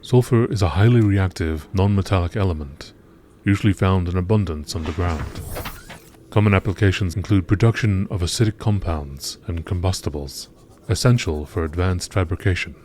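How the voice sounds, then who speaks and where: A man reading scripted text aloud in a measured nature documentary style, as an amateur narrator. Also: sits low, background noise.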